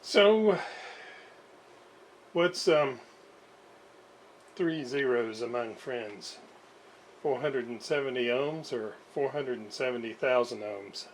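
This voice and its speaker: Slow-talking man, noisy recording. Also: medium pitch, lively intonation. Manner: halting, with pauses and self-deprecating asides. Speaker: host of radio repair videos. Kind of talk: casual unscripted workbench commentary. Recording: clear background noise.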